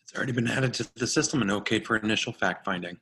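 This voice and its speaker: An actor playing a stern, commanding agency boss with a firm, authoritative manner, in an online screenplay reading. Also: male voice, lowish voice, quick pace, fairly bright tone, breathy edge. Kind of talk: online screenplay table read.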